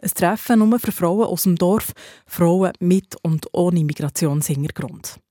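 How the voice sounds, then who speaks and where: Female regional news presenter, Swiss German accent, lowish warm tone. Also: a little slow, dry recording, clean studio sound.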